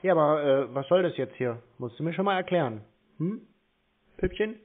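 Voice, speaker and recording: A man's voice: a little high, animated, normal speed. A man who banters on the phone in a brusque, sarcastic, mocking way, needling the caller. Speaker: scambaiting host taking scam calls. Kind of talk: teasing, confrontational phone banter. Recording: tinny, band-limited phone line.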